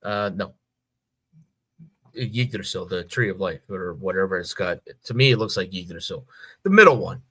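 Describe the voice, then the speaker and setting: A podcast co-host, a man with a somewhat low voice and a bright, forceful edge. He talks casually about fandom, working through his thoughts haltingly and repeating words as he goes. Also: expressive, slightly rough. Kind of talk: casual fan discussion.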